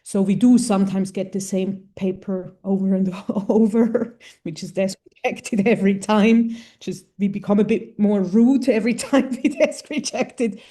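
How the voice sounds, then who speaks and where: A woman's voice: medium pitch, measured pace, hardly any pauses, warm tone. A woman, a journal editor, answering interview questions in a frank, plain-spoken way.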